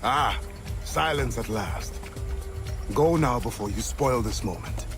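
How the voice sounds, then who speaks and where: An actor voicing a stern, paranoid, embittered mentor of assassins in a video game, curt and scolding. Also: male voice, mid-range voice, lively intonation, slow pace, music in the background. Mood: scheming, impatient. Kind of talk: dramatic video game voice acting.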